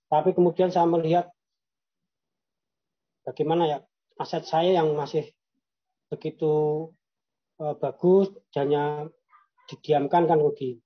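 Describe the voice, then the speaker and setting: A man from Yogyakarta telling his own business story in a halting way, with many fillers and restarts. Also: fairly high pitch, steady tone, dull audio. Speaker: guest entrepreneur. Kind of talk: personal storytelling.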